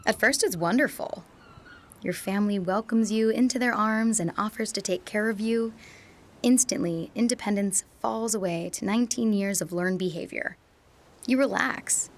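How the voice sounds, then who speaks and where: An actress playing a young female university student in a radio drama, reflecting quietly and sometimes dropping to a whisper. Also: medium pitch, close to an everyday voice. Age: young adult.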